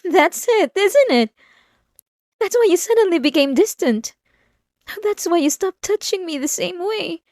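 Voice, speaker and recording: A woman's voice: very high-pitched, animated, a little slow, darkish tone, dry recording, clean studio sound, exaggerated voice. A woman playing an unhinged, possessive and obsessive wife in a dramatic ASMR roleplay, intense and swinging between pleading and menace. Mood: accusing, hurt. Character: unhinged possessive, obsessive young wife confronting her husband.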